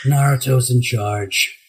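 A middle-aged man with a high tenor voice that has a husky, breathy grain, at an everyday pitch for a man. He is a guest voice actor in a casual interview, telling anecdotes in an easy, chatty way. He laughs often and slips into short acted-out quotes. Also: steady tone, slow pace, rough recording.

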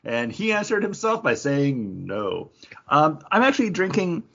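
Husky man chatting casually, muffled audio. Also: fairly high pitch, sing-song, measured pace, sharp tone. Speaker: podcast co-host. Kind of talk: casual chat.

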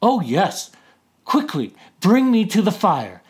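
Husky, scheming turtle chief in a folk tale, put on by a male storyteller, drawn-out lines swinging high and low. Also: sing-song, full character voice. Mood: sly, boastful. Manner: sly mumbling, then panicked pleading. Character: boastful cowardly male turtle war chief.

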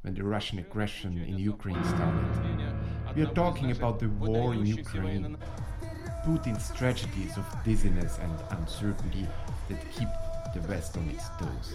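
A man with a fairly low voice hosts a podcast. He reads scripted narration, with music playing clearly underneath. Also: expressive, normal speed. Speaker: podcast host.

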